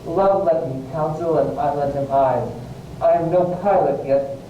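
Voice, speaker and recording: Man playing lovestruck young nobleman, poetic declamation, Shakespearean verse, very echoey distant recording, rumbling noise. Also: unhurried, muffled recording, lightly acted. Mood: ardent. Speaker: English teacher. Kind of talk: amateur Shakespeare play reading.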